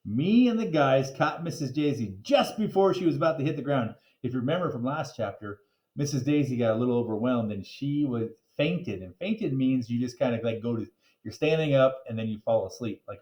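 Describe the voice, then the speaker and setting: Animated man reading aloud, echoey room. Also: medium pitch, brisk. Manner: explains words simply to young listeners. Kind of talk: animated children's storybook read-aloud with casual asides. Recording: echoey, roomy sound.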